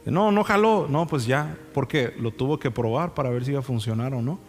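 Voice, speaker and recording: A man's voice: medium pitch, expressive, brisk. A young man preaching a sermon with anecdotes in a conversational way, often checking in with rhetorical questions. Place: church sanctuary. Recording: reverberant hall sound, music underneath. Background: music.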